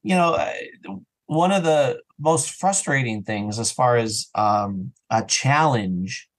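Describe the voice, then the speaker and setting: A man chatting casually as an interview guest, talking in an earnest, animated way about his creative work. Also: mid-range voice, slow pace. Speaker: interview guest, audio drama creator and podcaster.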